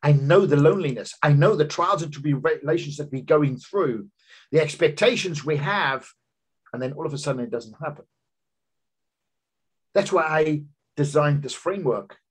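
A man's voice: normal speed, husky. A man in his fifties, a business coach, giving an earnest seminar talk over slides on a video call from a home office. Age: 50s. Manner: earnest, personal storytelling pitch with emphatic rises. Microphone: video call webcam.

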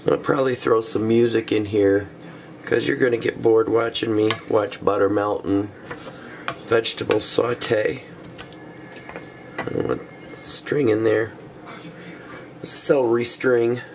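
A man with a cold, hoarse and stuffy, walking through a recipe step by step in a relaxed way with frequent ums. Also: lowish voice, slow, pauses often, a little hiss or hum. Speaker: cooking show host. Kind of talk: casual cooking demonstration. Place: small home kitchen.

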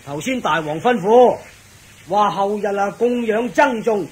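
A dutiful captain of the royal guard in a radio drama. His voice is notably high for a man. He speaks like an official, yet casually, drawing out his interjections. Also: animated, unhurried. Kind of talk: Cantonese radio drama acting.

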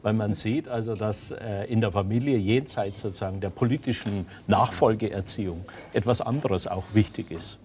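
Male panelist, lowish voice, hedging with many fillers, skeptical. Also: moderate pace, few pauses, a little mellow, slight background noise. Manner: hesitant, filler-heavy, skeptical.

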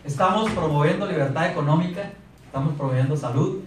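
A man in his thirties with a Mexican accent, giving a lively motivational sales talk on a handheld mic and asking the audience questions. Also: a little high, fast talker, distant mic. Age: 30s. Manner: animated, asks the audience questions. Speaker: sales speaker. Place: meeting room in front of a projector screen. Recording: echoey room recorded from afar.

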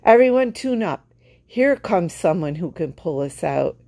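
A woman's voice: fairly low pitch, sing-song, unhurried, warm tone, no room sound. A woman voicing a worried, polite male bear bandleader in a storybook, anxious and exclaiming. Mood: urging.

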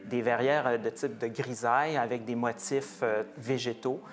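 A man in his forties, a museum research director, explaining the history of a chapel's stained glass in a measured, hesitant way with frequent fillers. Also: mid-range voice, steady tone, faint music behind. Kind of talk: explanatory heritage talk. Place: small wood-paneled chapel, indoors.

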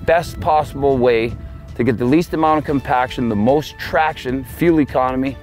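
A man's voice: mid-range voice, moderate pace, faint music behind. Confident salesy man, outdoors. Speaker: tire dealer. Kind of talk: promotional interview. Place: outdoors beside a tractor.

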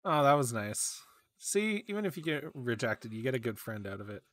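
A man's voice: mid-range voice, big pitch swings, brisk, no room sound. A man in his thirties chatting casually while streaming a video game, close on a desk mic. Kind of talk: casual gaming chat. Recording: loud game music behind.

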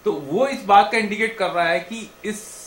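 A man discussing rock markings with others in a lively, argumentative way. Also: high voice, normal speed. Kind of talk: on-site discussion. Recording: voice amid a chattering crowd.

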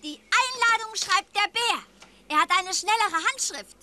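A woman's voice: very high-pitched, animated, moderate pace, pushed and bright, slight background noise, heavily acted. A woman voicing a small, eager and curious young tiger in a children's audio play. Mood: decisive.

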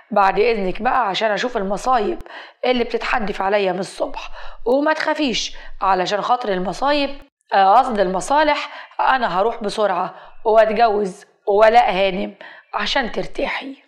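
A woman's voice: mid-range voice, quick pace, lightly acted. Woman playing a sardonic, harried Egyptian son. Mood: sarcastic. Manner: sardonic deference. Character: sarcastic grown son, harried male doctor, grudgingly obedient.

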